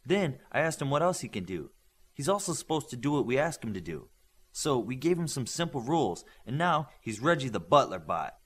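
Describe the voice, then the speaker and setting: A man's voice: mid-range voice, very animated, fairly quick, husky. A man voicing a casual, matter-of-fact teenage superhero boy in a story reading. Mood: explaining.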